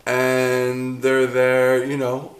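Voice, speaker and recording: A man in his thirties talking casually to a camera at home in a dark room, chatty and rambling, often cutting himself off mid-sentence. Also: mid-range voice, completely flat delivery, drawn-out words, reverberant, poor audio. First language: English. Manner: rambling, chatty, self-interrupting. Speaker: vlogger.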